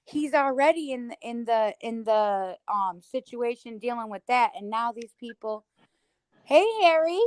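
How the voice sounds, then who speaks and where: Woman, high-ish voice, emphatic casual livestream chatter, close dry sound. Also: animated, measured pace, clean tone, no echo. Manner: emphatic, conversational, peppered with "you know" and "girl".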